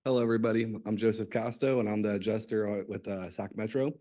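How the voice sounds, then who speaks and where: Flat, mellow-voiced man. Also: fairly low pitch, fast talker. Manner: brief, matter-of-fact. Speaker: claims adjuster.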